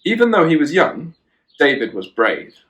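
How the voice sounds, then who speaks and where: A man's voice: a little high, huge pitch swings, normal speed, a bit bright. A man reading a children's storybook aloud, with chatty asides to a child. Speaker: children and youth worker, storyteller.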